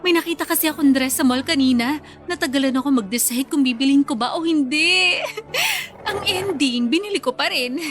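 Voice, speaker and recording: An actress voicing a chatty, carefree young bride-to-be in a radio drama, with a Filipino accent. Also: female voice, high voice, normal speed, music in the background, lightly acted. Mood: giggly, carefree. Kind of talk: Tagalog radio drama dialogue.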